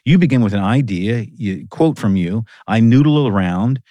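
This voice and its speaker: Low-pitched man, lively host, dry close sound. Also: moderate pace, no echo. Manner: animated, laughs often, repeats "right". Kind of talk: casual conversation.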